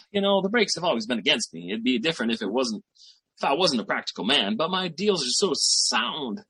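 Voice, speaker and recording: An actor playing a boastful, slangy small-time schemer boyfriend in 1940s radio sitcom style, with a raspy voice. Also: male voice, mid-range voice, sing-song, a little fast, bright, forceful tone, full character voice, rough recording. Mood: self-pitying. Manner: slangy, bragging, theatrical hustler patter.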